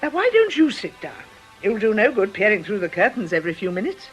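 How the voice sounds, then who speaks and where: A British actress playing a brisk, sensible, ageing nun in a radio drama, articulate and gently scolding. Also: female voice, mid-range voice, sing-song, lo-fi sound, soft background music, close to an everyday voice. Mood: brisk, practical. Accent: British English. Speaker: actor.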